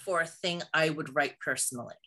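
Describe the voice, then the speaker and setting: A woman's voice: low-pitched, fairly quick, pushed and bright. A woman co-hosting a casual conversational podcast, talking in an emphatic way. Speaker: podcast co-host.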